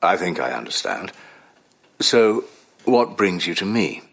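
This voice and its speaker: A learned psychoanalyst in a period radio play, with a very low, husky voice. His speech is measured and probing, yet the pitch rises and falls expressively as he reflects. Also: sing-song, a bit bright, exaggerated voice. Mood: reflective. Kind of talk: audio drama acting.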